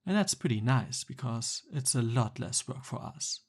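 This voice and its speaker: A man's voice: medium pitch, expressive, normal speed, slightly rough. A man playing a jealous, exasperated young Cupid best friend in a conversational boyfriend roleplay ASMR. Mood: explaining, wry.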